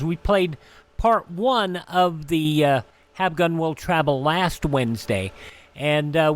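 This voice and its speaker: Man, radio host, slightly high voice, conversational, halting rambling delivery. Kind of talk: conversational hosting of a classic radio program.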